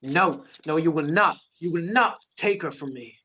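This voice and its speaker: An actor in a table read playing an anguished, tender man, speaking in halting, repeated pleas. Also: fairly high pitch, pushed and bright, breathy edge, lo-fi sound. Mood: desperate, defiant. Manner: halting, repeating himself, pleading. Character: anguished, tender man pleading for his beloved. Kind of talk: emotional table read drama acting.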